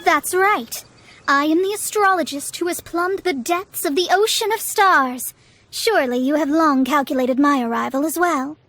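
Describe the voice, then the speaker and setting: A woman's voice: high voice, moderate pace, a bit bright, dry recording. A woman voicing a proud, learned young female astrologist in a video game, speaking in formal, haughty, theatrical phrases. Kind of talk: scripted video game voice acting. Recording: studio voice over game music.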